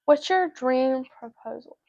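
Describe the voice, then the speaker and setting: A young woman of eighteen with a high voice and plenty of vocal fry chats casually on a podcast. She speaks informally, leaning on "like", and the recording is dry and slightly dull. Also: a little slow, a little mellow, dry recording. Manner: informal, heavy use of "like". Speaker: podcast co-host.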